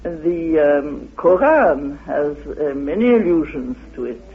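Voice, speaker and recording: Slow halting guy explaining stuff in an interview, kinda husky, asks himself questions, tinny old radio sound. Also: on the high side, big pitch swings. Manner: halting, deliberate, asks and answers his own questions. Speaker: interviewee expounding his theory. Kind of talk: interview, explaining with recited quotations. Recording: phone-like, muffled old radio recording.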